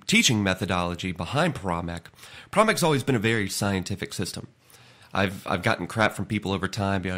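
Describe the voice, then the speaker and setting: A man with a lowish voice, nasal and stuffy from a head cold. He talks in an earnest, conversational way, hosting a solo episode and repeating words as he thinks aloud. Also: fairly bright tone. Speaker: podcast host. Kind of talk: solo motivational talk.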